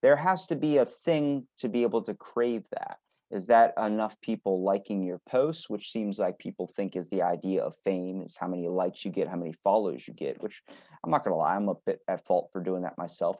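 A man's voice: lowish voice, mellow, dry recording. A man in his early twenties hosting a casual conversation, blunt and informal as he banters and asks questions. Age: early 20s. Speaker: podcast host.

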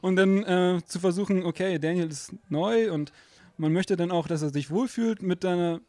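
Band member, male, German, slightly high voice, rambling, laughs easily. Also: normal speed, a little mellow, no room sound. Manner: rambling, laughs often, many fillers. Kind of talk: casual interview chat.

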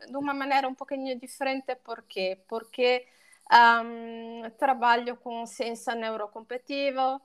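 A woman's voice: fairly high pitch, clear, resonant tone. A woman from Italy with an Italian accent, a pet behaviour coach speaking as a podcast guest, talking with frequent fillers and restarts. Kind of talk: podcast interview.

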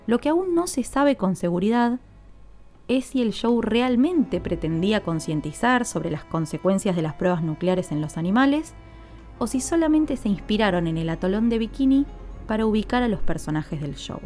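A woman's voice: medium pitch, expressive, moderate pace, a little mellow, soft background music. A woman narrating a scripted podcast in an explanatory way, speaking directly to listeners. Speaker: podcast host.

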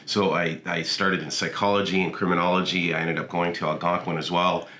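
Deep-voiced man, bright tone, roomy sound. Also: flat delivery, fairly quick. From Canada. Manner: friendly, rambling with many restarts and fillers. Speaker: podcast guest. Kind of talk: casual interview chat.

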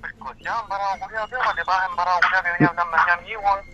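A man's voice: high voice, steady tone, rapid-fire, crowd noise. A Chilean man arguing heatedly on a phone call in foul-mouthed, repetitive street slang. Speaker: prank call recipient. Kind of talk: heated informal phone argument. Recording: dull telephone line with music behind.

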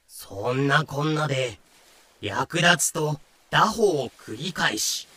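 A man's voice: fairly high pitch, lively intonation, slow pace, sharp tone, breathy edge. A man giving scripted, dramatic narration for an audio drama.

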